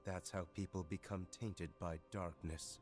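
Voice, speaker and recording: Brooding young man, game villain type: very low, measured, contemptuous, solemn, with music underneath. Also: fairly even intonation, a little mellow. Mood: disdainful. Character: brooding, cold, arrogant young man drawn to darkness. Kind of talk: video game cutscene acting.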